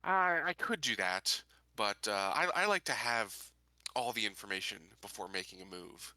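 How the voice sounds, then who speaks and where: A man playing a tabletop roleplaying game, talking casually and deliberately with frequent hesitant pauses. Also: lowish voice, lively intonation, pushed and bright, no echo, low-quality recording. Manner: deliberate, hesitant, pausing often.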